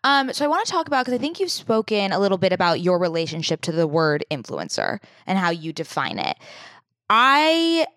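A woman's voice: medium pitch, a bit bright, no echo, clean studio sound. A woman hosting a podcast, asking long, winding, earnest questions. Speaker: podcast host. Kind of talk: interview questions.

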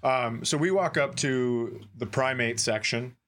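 A man's voice: medium pitch, unhurried. A middle-aged man co-hosting a comedy podcast, telling stories casually and acting out the dialogue as he goes. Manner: animated, acts out dialogue in his stories. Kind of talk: comic storytelling, casual banter.

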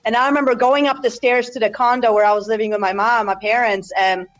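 A woman from Poland tells her personal story as a podcast guest. Her voice sits a little high, and she speaks candidly and energetically, with lots of fillers. Faint music plays behind her. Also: fairly even intonation, fast talker, rough recording. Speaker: podcast guest, job promotion coach. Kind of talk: personal life story in a casual interview.

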